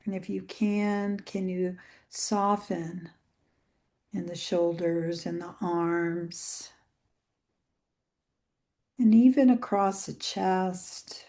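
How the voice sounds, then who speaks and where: Slow, mellow woman leading meditation. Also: on the low side, steady tone. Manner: gentle, soothing, unhurried with long pauses. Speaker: meditation and yoga teacher leading a guided meditation. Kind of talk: guided meditation.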